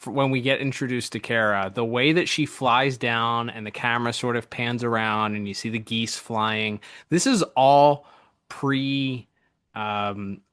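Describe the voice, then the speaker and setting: Male podcast co-host, mid pitch, informal and enthusiastic, close dry recording. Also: fairly even intonation, measured pace, fairly bright tone, clear, resonant tone, dry recording. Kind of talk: casual animation review chat.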